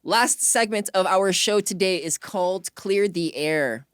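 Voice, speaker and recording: A man's voice: sits high, measured pace, very noisy. A man hosting an interview, asking questions in a conversational, affirming and appreciative way. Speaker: podcast interview host.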